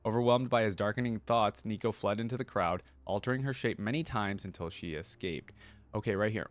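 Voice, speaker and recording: A man's voice: fairly low pitch, no echo. A man hosting a reaction video, talking and reading aloud in a casual, conversational way. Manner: conversational, informal. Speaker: YouTube reaction host.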